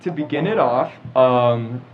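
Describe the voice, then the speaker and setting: A man's voice: medium pitch, a little slow, over music. Muffled teenage American male podcast host. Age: teens. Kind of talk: casual group discussion. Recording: muffled lo-fi podcast with clear background noise.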